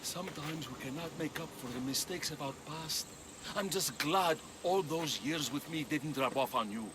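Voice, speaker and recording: An actor playing a wily aging former mercenary, an old friend, in a video game. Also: male voice, fairly high pitch, normal speed, noisy background, lightly acted. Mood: remorseful.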